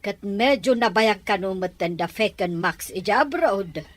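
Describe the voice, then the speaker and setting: A woman acting a frank village neighbour in an Ilocano audio drama. Her voice is medium in pitch, with an Ilocano accent and plain, everyday delivery. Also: lively intonation, unhurried. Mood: gossiping. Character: matter-of-fact village woman discussing neighbours' troubles.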